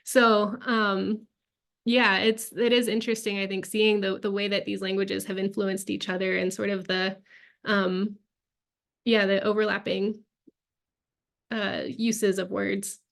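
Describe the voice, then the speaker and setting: A young woman presenting her research and answering audience questions, thinking aloud with many pauses and restarts. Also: medium pitch, flat delivery, gravelly. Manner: thoughtful, hesitant, many ums and restarts. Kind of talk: academic Q&A answer.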